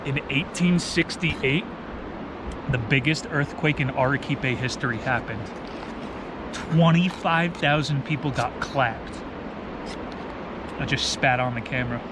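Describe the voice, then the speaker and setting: A man's voice: mid-range voice, a little slow, long pauses, noisy background. A man from New Jersey with an American accent, chatting and telling stories in a casual, slangy, playful way as a travel vlogger. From New Jersey, United States. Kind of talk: casual vlog chat with storytelling. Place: outdoors, camping.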